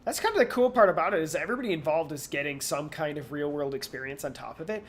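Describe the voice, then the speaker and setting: Male podcast co-host, voice a touch high, casual, supportive and reflective. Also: quick pace, a bit bright. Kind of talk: casual chat.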